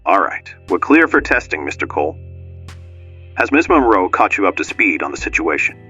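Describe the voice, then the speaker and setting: A man's voice: sits low, very animated, moderate pace, bright, forceful tone, husky, highs cut off, faint music behind, full character voice. A man playing a middle-aged doctor who supervises a test, speaking in a formal, businesslike, instructive way in an audiobook. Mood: brisk, instructive. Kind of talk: audiobook character acting.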